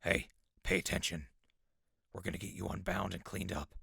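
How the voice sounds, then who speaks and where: An actor playing a gruff, curt undercover infiltrator among raiders in a post-apocalyptic audio drama, with a rough voice. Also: male voice, low-pitched, fairly even intonation, normal speed, sharp tone, no room sound, studio quality, exaggerated voice. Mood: curt, commanding. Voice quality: gravelly, rough. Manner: curt, bossy.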